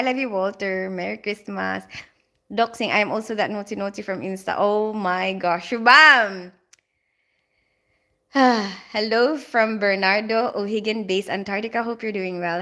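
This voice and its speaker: A woman hosts a casual livestream, reading out viewer names and chatting back. Her voice sits a little high and sounds bright and forward. She talks loosely, with lots of fillers. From Philippines. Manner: chatty, greets viewers by name, frequent fillers. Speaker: livestream host.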